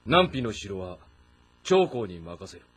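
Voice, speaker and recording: A man playing a proud, brooding middle-aged warlord in a historical game drama, speaking with deliberate authority. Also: on the low side, very animated, slightly rough, full character voice. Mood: stern. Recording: voice over background music.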